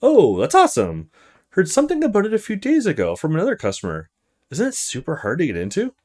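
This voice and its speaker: A man's voice: a little high, huge pitch swings, quick pace, darkish tone, voice pushed up. A man voicing a friendly, slightly roguish old taxi driver with a folksy, chatty manner in a game. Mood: impressed. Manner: folksy, chatty, eager to give tips.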